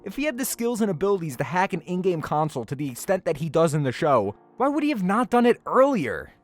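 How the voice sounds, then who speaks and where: A man gives an opinionated, scripted review in the style of a video essay, dropping in casual asides. His delivery swings widely in pitch and sounds exasperated and sarcastic, leaning on key words for emphasis. Soft music plays behind his voice. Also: sing-song, fast talker. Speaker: anime reviewer.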